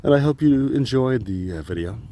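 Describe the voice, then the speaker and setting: A man talks to the camera outdoors while on a walk, rambling from one joke to the next with plenty of swearing and laughter. His pitch swoops up and down a lot, and a faint hiss sits behind him. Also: animated, a little fast, dark tone. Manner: jokey, profane, laughs often. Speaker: vlogger.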